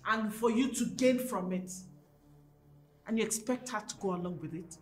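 A woman acting an outspoken woman in a screen drama. Her voice is mid-pitched and bold. Faint music runs behind her. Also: normal speed, reverberant, close to an everyday voice. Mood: firm, challenging. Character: bold outsider who speaks up for a friend.

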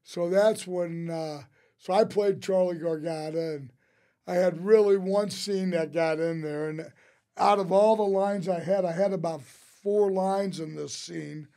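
A man tells casual, rambling anecdotes as a podcast guest, quoting people in an animated way. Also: high voice, a little slow. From St. Louis, United States. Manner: rambling, animated storyteller who quotes others. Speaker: interview guest, restaurateur. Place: small podcast studio. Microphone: close studio mic on a boom arm.

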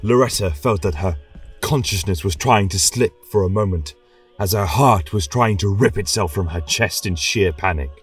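A man's voice: sits low, moderate pace, husky, distant mic, soft background music. A man narrating a scripted tale as a religious chronicler, in a solemn, reverent, theatrical style. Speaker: storyteller and podcast host.

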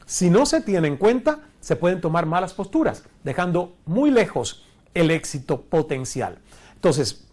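A man in his forties from Cuba, standing before a screen in a studio and teaching an online course with a lapel mic, earnest and asking rhetorical questions. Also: on the high side. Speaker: course instructor. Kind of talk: recorded online lecture.

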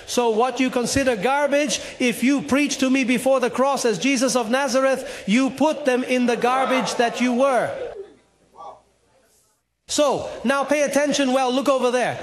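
A middle-aged man preaching a sermon on a studio stage into a handheld mic, animated and acting out dialogue, at times rising to a shout. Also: very high-pitched. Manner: animated, gesturing, acts out dialogue, rises to shouts.

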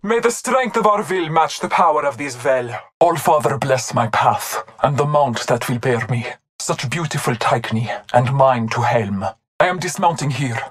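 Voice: medium pitch, fairly bright tone. An actor playing a devout, honor-bound masked hunter warrior in a video game, speaking in formal, solemn callouts.

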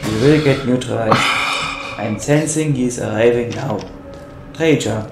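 A man voicing a creepy, injured executive asylum inmate, halting and repetitive in an amateur game mod. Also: medium pitch, roomy sound, over loud music, lightly acted, low-quality recording. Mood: slow, ominous.

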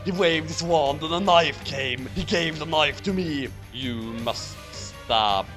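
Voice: medium pitch, normal speed, over music. A narrator reading a fan fiction story aloud as scripted narration.

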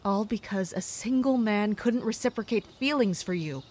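An actress playing a dutiful woman captain of royal guards in an audio drama, measured, blunt and restrained. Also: medium pitch, darkish tone, husky, close to an everyday voice. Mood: stern, blunt. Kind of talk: audio drama acting with inner monologue.

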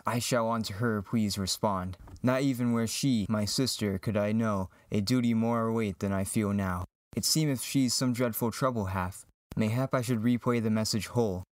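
A man's voice: fairly low pitch, normal speed, dry recording, lightly acted. A man playing an earnest, lovestruck young farm boy hero, declaiming verse in an amateur Shakespearean reading. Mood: earnest, wondering.

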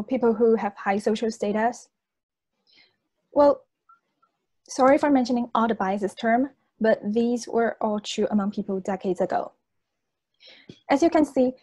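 A woman's voice: fairly high pitch, mellow, no room sound. A young woman from China, a native Chinese speaker, delivering a persuasive competition speech in an earnest, audience-addressing manner. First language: Chinese (Hainanese and Mandarin). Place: indoors on a video call.

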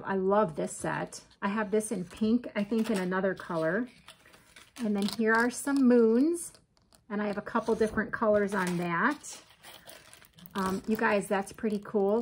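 A woman's voice: mid-range voice, a little hiss or hum. A woman in her forties chatting casually to viewers, showing her crafts at home in her kitchen and often calling them "you guys.". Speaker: crochet vlogger. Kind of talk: casual show-and-tell chat.

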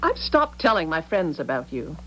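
An actress in a 1970s radio drama playing a devoted, trusting, adoring wife. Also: female voice, sits low, sing-song, moderate pace, fairly bright tone, muffled recording, slight background noise. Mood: trusting, admiring. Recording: old radio drama, faint music behind.

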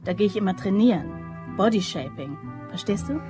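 A woman's voice: mid-range voice, animated, normal speed, music in the background, lightly acted. An actress playing a chatty, curious young woman in a German crime radio drama. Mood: playful, curious.

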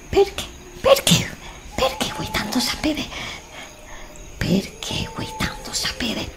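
A frightened young woman lost in dark woods, played by a middle-aged woman whispers anxious, pleading questions. Her voice is higher than usual and swings lively up and down. It is recorded close on a desk condenser mic in a home room. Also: exaggerated voice. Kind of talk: ASMR roleplay.

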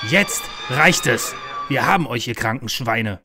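A man's voice: mid-range voice, animated, unhurried, over music. Man playing a paranoid conspiracy believer. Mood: outraged. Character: paranoid, overwrought man fearing a world conspiracy. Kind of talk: parody audio drama acting.

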